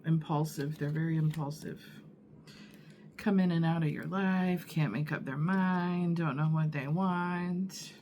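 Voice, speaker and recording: A woman reading tarot in an unhurried, musing way. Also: low-pitched, fairly even intonation, a little mellow, a little hiss or hum. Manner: unhurried, musing, many fillers and pauses. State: husky morning voice from allergies. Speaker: tarot reader. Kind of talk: casual tarot reading to camera.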